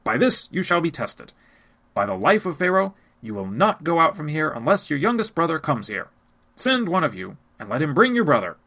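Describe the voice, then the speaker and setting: A commanding male ruler who tests and threatens strangers, as a man reads him aloud. His gravelly voice rises and falls in wide swings. Each order comes out firm and loud. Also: a little high, big pitch swings, moderate pace, heavily acted. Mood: stern. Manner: louder, commanding delivery. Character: stern powerful male ruler testing strangers.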